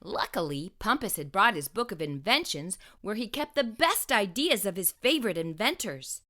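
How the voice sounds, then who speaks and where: Woman, animated storybook narration, bright tone, medium pitch, expressive read-aloud for children. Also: normal speed.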